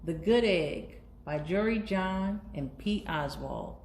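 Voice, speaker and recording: A woman reading a children's picture book aloud in an expressive storytelling style. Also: on the low side, slow pace, creaky. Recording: roomy echo, faint background noise.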